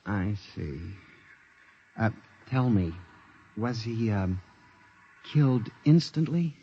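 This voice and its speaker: An actor playing a meek, anxious middle-aged clerk who narrates a nightmare in a 1950s radio drama, deferential and hesitant with others. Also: male voice, lowish voice, animated, slow pace, breathy edge, exaggerated voice. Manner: deferential, hesitant when questioned. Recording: muffled 1950s radio recording with music.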